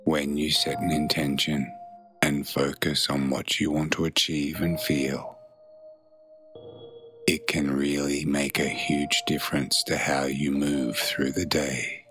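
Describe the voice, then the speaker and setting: Really deep slow guy doing guided meditation, soothing, pretty flat tone, long gaps between phrases. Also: soft background music.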